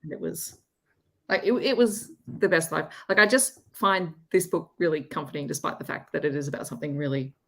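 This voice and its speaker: Casting: female book club panelist, deep for a woman, gravelly creak, conversational delivery. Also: fairly quick, fairly bright tone. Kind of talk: casual book chat.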